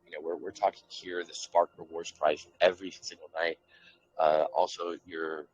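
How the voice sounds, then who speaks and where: A man's voice: low-pitched, little pitch movement, normal speed, slightly rough, dull audio. A man from a project team speaking earnestly over a call, often repeating words as he goes.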